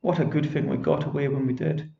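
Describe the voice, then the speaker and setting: A man voicing a small, frightened, kind female fairy in a high, pleading voice for a storybook reading. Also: steady tone, brisk, gravelly, dark tone, echoey room, muffled recording. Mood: coaxing.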